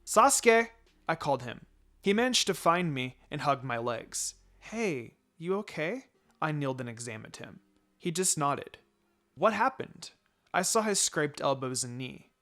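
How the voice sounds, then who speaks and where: A man reading fan fiction aloud as a dramatic, expressive first-person narrator, acting out the dialogue. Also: medium pitch, normal speed.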